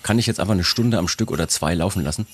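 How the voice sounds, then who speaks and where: A man hosting a podcast over a video call, chatty and self-mocking, his voice hoarse from a cold. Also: sits low, fast talker, a little hiss or hum. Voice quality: husky. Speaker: podcast host. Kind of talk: casual chat.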